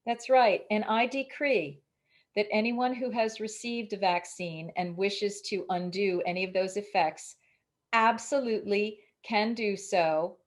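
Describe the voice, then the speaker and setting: A woman's voice: mid-range voice, fairly even intonation, unhurried. A woman speaking as an interviewed author in a casual spiritual conversation, with an emphatic, declarative way of talking.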